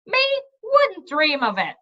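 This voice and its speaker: A cheeky storybook businessman, put on by a woman reader, pitched high and swinging widely as he protests. His talk is breezy, colloquial and exclamatory. The recording is slightly dull and lo-fi. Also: wildly swooping pitch, slow, pushed and bright, heavily acted. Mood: mock innocent. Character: cheeky indignant male trader and businessman.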